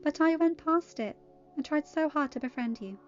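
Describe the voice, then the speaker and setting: A woman playing a gentle young storybook princess with a high voice. She is earnest, loyal and dutiful, pleading from the heart on a slightly muffled recording. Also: moderate pace, close to an everyday voice. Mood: fond. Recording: muffled lo-fi audio with music.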